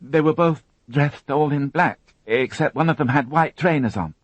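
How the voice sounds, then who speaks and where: Man putting on a raised voice for a tearful young woman, hesitant, halting, dry close sound. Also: fairly high pitch, dry recording, voice pushed up, natural voice. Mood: shaken. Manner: hesitant, halting, repeats words. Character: shaken young woman, crime witness.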